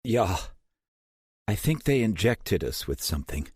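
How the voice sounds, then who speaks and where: A man's voice: on the low side, sing-song, measured pace, a little mellow, breathy edge, no room sound, studio quality, voice pushed up, heavily acted. A man voicing a young German hacker with a German accent, slurring and groggy.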